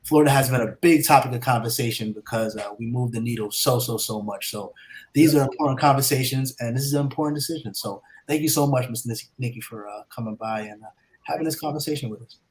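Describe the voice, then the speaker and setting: A man's voice: mid-range voice, fast, low-quality recording. A male podcast co-host with a mid-range voice, reading out where to find the show and thanking listeners. His delivery is upbeat and announcer-like.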